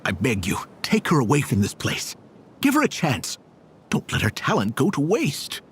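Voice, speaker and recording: A man's voice: medium pitch, wildly swooping pitch, normal speed, a bit bright, slight background noise. A man playing a humble, earnest desert villager in a video game, speaking in a deferential, imploring way. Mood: pleading, earnest. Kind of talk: scripted game dialogue.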